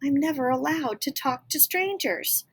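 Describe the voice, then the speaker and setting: Kadının canlandırdığı ince sesli, hevesli genç kız. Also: female voice, sits high, animated, normal speed, voice pushed up. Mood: wary, protesting. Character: polite, eager, hard-working young Cinderella girl. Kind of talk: picture-book read-aloud.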